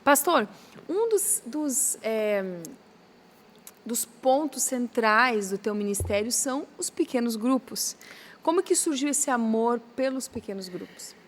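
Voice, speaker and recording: A woman with a gaúcho accent from southern Brazil, hosting a podcast interview in a lively, chatty way. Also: on the high side, slow pace, a little hiss or hum. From Rio Grande do Sul, Brazil. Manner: lively, chatty, warmly admiring of the guest. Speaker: podcast host.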